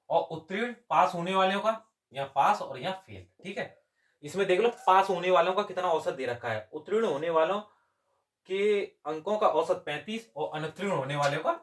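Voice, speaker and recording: Male tutor, fairly high voice, explains step by step and answers his own questions. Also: fairly bright tone. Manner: asks questions and answers them himself. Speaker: exam coaching maths teacher. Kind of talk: step-by-step lesson.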